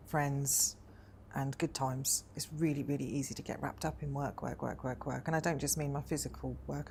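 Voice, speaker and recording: A woman in her forties from Wales with a low voice and a gravelly, creaky edge, reflecting on her life in an interview. She talks thoughtfully and hesitantly, filling pauses with ums, over a faint background ambience. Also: darkish tone, slight background noise. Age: 40s.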